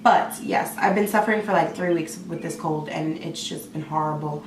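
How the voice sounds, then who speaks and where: A woman vlogger, hoarse from a cold, chatting excitedly to camera with lots of fillers. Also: low-pitched, moderate pace, roomy sound, distant mic, slight background noise, low-quality recording. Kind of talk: casual talk to camera.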